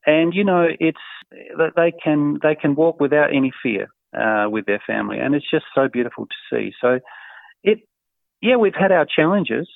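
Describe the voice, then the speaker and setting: A man's voice: on the high side, expressive, normal speed, gravelly, no echo. Hesitant Australian man on a tinny line. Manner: hesitant, many fillers, warm chuckles. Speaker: interviewee. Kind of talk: casual interview answers. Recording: tinny phone-like line, dry.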